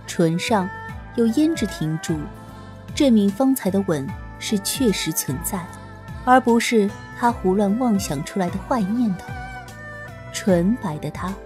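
Slow, lilting woman reading a romance story. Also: medium pitch, a little mellow. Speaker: audiobook narrator. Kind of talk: scripted romance narration.

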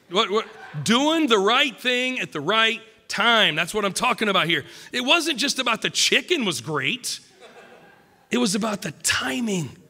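A middle-aged man preaching at a pulpit, emphatic and joking, a little croaky from a cold. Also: high-pitched, bright, forceful tone, long reverb tail. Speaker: pastor. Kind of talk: sermon. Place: church stage at a wooden pulpit. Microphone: podium mic.

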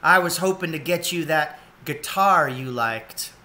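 A man's voice: on the high side, measured pace, sharp tone. A man voicing a plucky, kindly otter mother in a storybook, with a plain, resigned yet hopeful delivery. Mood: wistful, resigned.

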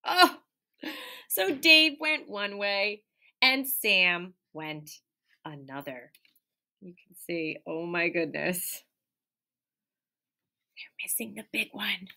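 Animated woman teacher reading to children. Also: mid-range voice, slow pace, pauses often. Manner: engaging young listeners with questions and prompts; expressive, stressing key words. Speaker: elementary school teacher. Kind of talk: animated children's picture-book read-aloud with asides. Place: small indoor room by a window.

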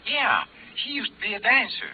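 A muffled old radio recording holds a gossipy Southern tipster. His fairly high voice bobs up and down in an easy, folksy drawl. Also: huge pitch swings, moderate pace, full character voice. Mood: gossipy, helpful. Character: folksy, chatty male Southern local informant. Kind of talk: 1950s American radio drama acting. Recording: muffled, faintly noisy vintage radio recording.

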